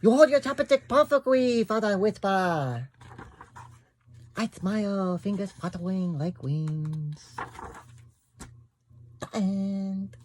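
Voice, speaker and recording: A man's voice: sits high, slight background noise. A man in his thirties reading a children's picture book aloud to his toddler in a small bedroom, recorded close on a phone. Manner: put-on accent in quoted lines, slow and laughing. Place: small bedroom at home, indoors. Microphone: phone camera held close.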